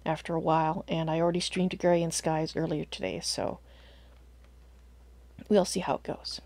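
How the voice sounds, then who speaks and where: A woman streaming a video game live, slightly hoarse from a cold, chatting with viewers and thinking aloud as she plays. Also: deep voice, flat delivery, normal speed, darkish tone, no room sound. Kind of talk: casual live gameplay commentary.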